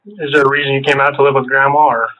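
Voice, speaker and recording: Male detective, breezy and friendly in the box, quick delivery, phone-thin archival audio. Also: level tone, slight background noise. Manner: casual, friendly questioning. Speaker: police detective. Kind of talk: interrogation small talk. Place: police interview room. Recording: tinny low-fidelity room recording.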